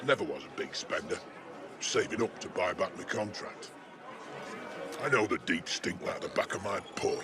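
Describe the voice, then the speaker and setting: An actor playing a chatty, jaded older working-class British local in a cyberpunk video game, speaking in a slangy, matey, sardonic way. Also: male voice, deep voice, lively intonation, normal speed, a crowd in the background, background noise. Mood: matter-of-fact. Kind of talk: video game character dialogue.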